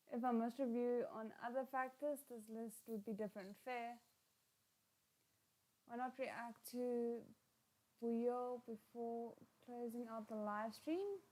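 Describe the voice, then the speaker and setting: Woman, fairly high pitch, casual livestream reaction host, expressive exclamations. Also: poor audio. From South Africa. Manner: expressive, exclaims and giggles while watching. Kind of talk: casual live reaction commentary.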